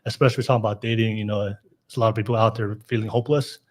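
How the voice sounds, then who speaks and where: A man's voice: on the low side, steady tone, rapid-fire, a little mellow. A man hosting a casual live podcast, upbeat, laughing often and restarting his sentences. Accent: Californian. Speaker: podcast host.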